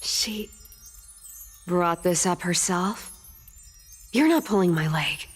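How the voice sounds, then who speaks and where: A woman's voice: on the low side, takes it slowly, husky, faint music behind. A woman playing a teasing, flippant cat-like thief demigod in a video game, with a cocky, drawling delivery. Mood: skeptical, pointed. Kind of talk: video game character acting.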